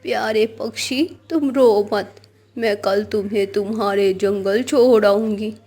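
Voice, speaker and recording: A woman's voice: mid-range voice, fairly even intonation, a little slow, dark tone, faint music behind, lightly acted. A woman voicing a kindly, protective mother-like dove in a children's cartoon, soothing and reassuring. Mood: gentle concern.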